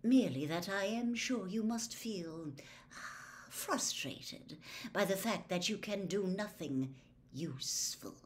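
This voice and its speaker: Woman voicing a soft, sneering male wizard schoolmaster. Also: on the low side, big pitch swings. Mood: quietly waspish. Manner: slow, smooth, waspish, pausing before barbed words. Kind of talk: audiobook character voice.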